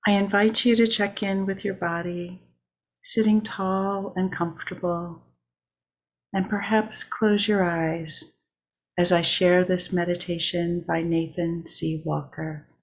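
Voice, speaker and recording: A woman leads a guided meditation at a very slow pace. Her voice sits a little low and stays level, and she speaks in short, measured, deliberate phrases with a calm delivery. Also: a little hiss or hum, rough recording. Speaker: worship leader.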